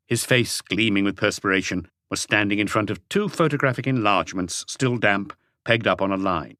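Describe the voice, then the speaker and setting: A man's voice: fairly low pitch, a bit bright, no room sound, clean studio sound. A man reading scripted story narration as an audiobook narrator.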